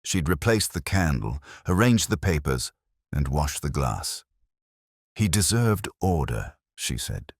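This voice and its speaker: Very deep-voiced man narrating a detective story. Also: sing-song, vocal fry, husky, dry recording, clean studio sound. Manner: measured, literary, reflective. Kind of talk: scripted first-person detective story narration.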